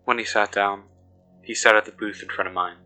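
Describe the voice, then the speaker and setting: A man playing an uneasy investigator who recounts events in measured, deliberate horror narration. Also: on the low side, steady tone, sharp tone. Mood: measured unease. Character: uneasy male investigator recounting being followed. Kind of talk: scripted first-person horror narration. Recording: music behind the voice.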